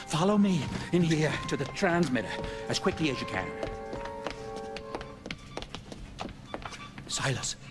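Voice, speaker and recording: Casting: male actor as querulous, fussy shopkeeper-experimenter for old-time radio, high voice, lively theatrical inflection, music underneath. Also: brisk, long reverb tail. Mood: flustered, then urgent.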